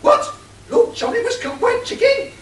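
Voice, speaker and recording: A woman's voice: sing-song, a little fast, crowd noise. A woman playing a dim-witted titled lady detective in an amateur radio play, with a pompous, blustering manner. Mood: haughty. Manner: pompous, blustering, swooping pitch. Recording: roomy, distant, faint hiss.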